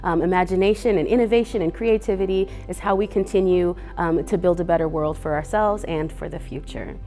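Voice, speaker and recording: A woman's voice: on the low side, brisk, warm tone, soft background music. A woman speaking earnestly and unhurriedly to children as a guest reader, with a few fillers. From Boise, Idaho. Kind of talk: gentle talk to kids.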